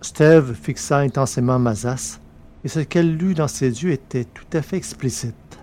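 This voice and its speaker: A man narrating a science-fiction story from a script, in a measured, literary reading manner. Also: mid-range voice, mellow, slight background noise.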